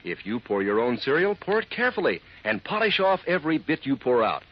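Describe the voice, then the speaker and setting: A man delivering a folksy, enthusiastic sales pitch as an announcer in a 1940s radio advertisement. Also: sing-song, a little fast, slightly rough. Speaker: commercial announcer. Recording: muffled old radio recording.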